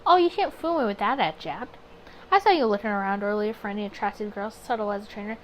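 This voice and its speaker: A woman's voice: medium pitch, animated, fast, close to an everyday voice. A woman plays a sly, flirtatious man in a 1920s visual novel. The delivery is playful and teasing, like sharing a secret. Some noise sits in the background.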